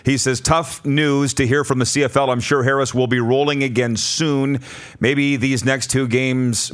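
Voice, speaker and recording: A man hosting a live sports talk discussion, posing questions to steer the conversation. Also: medium pitch. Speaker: sports talk show host.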